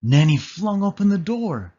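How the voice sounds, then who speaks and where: A man reading a children's story aloud as an expressive narrator. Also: sits high, measured pace, muffled recording. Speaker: storybook reader.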